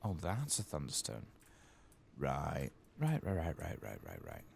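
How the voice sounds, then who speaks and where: A man with an extremely low, creaky voice plays a game and mutters along casually. He speaks softly in an ASMR style and often slips into whispers. His pitch moves around in a lively way, and there is noticeable ambient noise behind him. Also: unhurried. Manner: soft-spoken, often drops into whispering. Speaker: host playing a video game. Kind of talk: soft-spoken ASMR game commentary.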